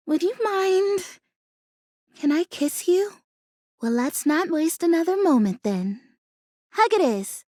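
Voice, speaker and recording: A woman's voice: high voice, no room sound, clean studio sound. A woman voicing a sweet, sentimental but proudly self-assured living houseplant in a video game, with an earnest, folksy way of talking. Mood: tender, grateful. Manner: folksy, earnest, wholesome exclamations. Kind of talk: animated video game character dialogue.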